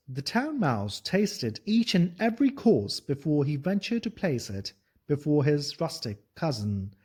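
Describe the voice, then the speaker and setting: An audiobook narrator tells a fable from a script. The pace is unhurried and deliberate, the pitch moves expressively, and the voice is slightly breathy and rough. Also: darkish tone.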